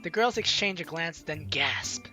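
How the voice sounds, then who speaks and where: A woman's voice: low-pitched, animated, measured pace, pushed and bright, soft background music. A woman let's player reading a game's story aloud expressively and adding playful, casual commentary.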